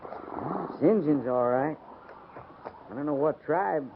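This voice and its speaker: A man's voice: a little high, slow. A man playing a plainspoken, folksy frontier cavalry sergeant with a rustic American accent in a 1950s radio western. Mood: matter-of-fact. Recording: tinny, noisy 1950s radio recording.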